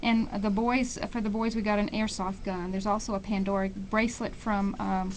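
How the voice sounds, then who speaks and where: An American woman with a medium-pitched voice speaks as a guest on a radio interview. She explains details in an informal, somewhat halting way. Noticeable noise sits behind her voice. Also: fairly even intonation, poor audio. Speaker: interview guest promoting a fundraiser. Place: radio station.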